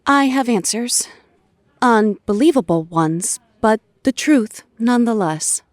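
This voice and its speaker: A woman playing an earnest, pleading superhero in an audio drama, deliberate and emotional. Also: fairly high pitch, expressive, no echo, clean studio sound. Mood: gentle, earnest. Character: Jean, earnest, pleading resurrected female superhero.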